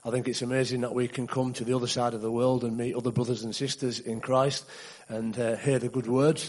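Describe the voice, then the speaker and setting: A man's voice: medium pitch, level tone, a little fast, a little mellow. A man with a West Yorkshire accent speaks politely and earnestly at a church service. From England. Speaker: visiting churchgoer. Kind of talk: church testimony.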